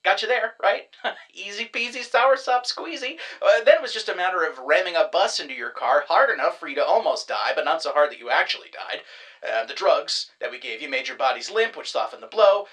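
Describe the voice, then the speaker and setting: A man's voice: high voice, animated, fairly quick, a bit bright. An actor playing a boastful, giddy man who runs a rival disappearance agency, wheedling and gloating in an audio drama. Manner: wheedling, gloating, playful, prone to whispering.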